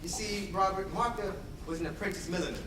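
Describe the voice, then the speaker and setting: A man's voice: high voice, fast talker, close to an everyday voice. A man playing a storyteller who narrates a Victorian family tale on stage. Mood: storytelling. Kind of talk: theatrical scripted narration. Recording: echoey, distant, noisy stage recording.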